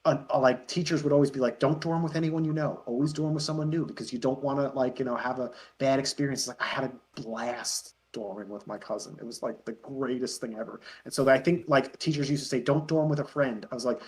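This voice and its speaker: A man's voice: medium pitch, steady tone, fast talker, few pauses. A man in his thirties chatting casually as an interview guest, telling rambling, chuckly stories. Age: 30s. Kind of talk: casual chat.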